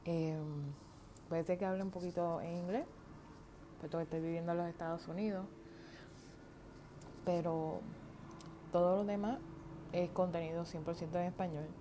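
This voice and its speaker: A woman's voice: fairly low pitch, little pitch movement, moderate pace, pauses often, mellow. A woman from Puerto Rico with a Puerto Rican Spanish accent, hosting a casual solo podcast in an informal, rambling way with slang and some English words. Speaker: podcast host. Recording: home recording with outdoor yard noise. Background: faint noise from outside.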